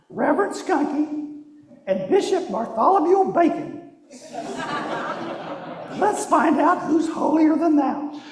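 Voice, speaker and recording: A man voicing an excitable, scheming monkey puppet who hosts a game show in a live children's show. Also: extremely high pitch. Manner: hyped-up game show announcer delivery. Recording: echoey church hall, distant mic.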